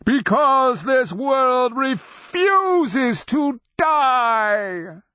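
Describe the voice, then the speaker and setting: A man voicing an ancient, proud undead dragon with slow, grandiose roaring declamation in a fantasy audiobook. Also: very high-pitched, animated, pushed and bright, no echo, dull audio, pitched above the performer's own voice, full character voice. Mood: roaring, defiant. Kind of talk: fantasy audiobook character acting.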